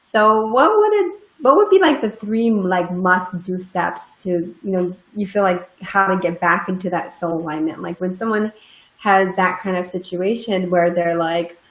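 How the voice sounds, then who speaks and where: A woman's voice: fairly low pitch, moderate pace, fairly bright tone. A woman interview host who affirms her guest and asks rambling questions full of fillers. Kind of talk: casual interview chat. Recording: roomy, dull lo-fi recording.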